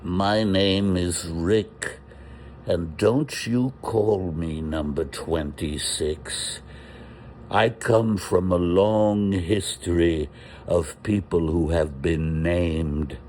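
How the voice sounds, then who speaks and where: A man's voice: sits low, darkish tone, a little hiss or hum. A man voicing a proud, defiant prisoner who rants and rambles indignantly. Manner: slow, indignant, rambling declamation.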